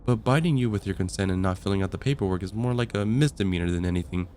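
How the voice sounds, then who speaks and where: A man playing a friendly, patient vampire caseworker in an ASMR roleplay, explaining things calmly with gentle dry wit. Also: sits low, quick pace, a little hiss or hum, close to an everyday voice. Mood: mildly resigned. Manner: calm, explanatory, gently wry. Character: friendly, patient vampire caseworker onboarding a newcomer, dryly amused. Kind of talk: ASMR roleplay, conversational acting.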